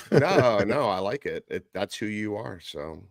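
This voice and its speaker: A man's voice: on the low side, expressive, measured pace, creaky, no room sound, clean studio sound. A man co-hosting a talk show with casual banter and a teasing, joking manner. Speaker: radio show co-host.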